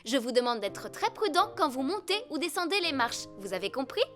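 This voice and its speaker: A young female cartoon rescue robot with a high voice. She is earnest and polite, giving careful safety advice to children. Music plays clearly behind her. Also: very animated, fairly quick, a bit bright. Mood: caring, earnest. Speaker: rescue team safety instructor. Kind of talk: dubbed children's cartoon dialogue.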